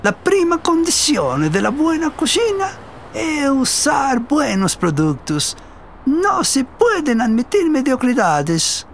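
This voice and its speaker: A man's voice: very high-pitched, wildly swooping pitch, takes it slowly, vocal fry, slight background noise, exaggerated voice. An actor playing an exacting, expansive Italian head chef, emphatic and slipping Italian into his Spanish with an Italian accent. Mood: stern, instructive. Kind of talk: radio drama acting.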